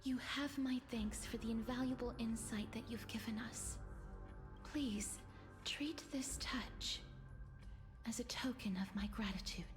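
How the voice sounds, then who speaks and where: An actress plays a solemn young woman weighed down by sorrow in a video game. Her high voice is hushed and breathy, each formal phrase placed with care. It rings in a long reverb over soft music. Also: measured pace, lightly acted. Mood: grateful, gentle. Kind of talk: dramatic video game voice acting.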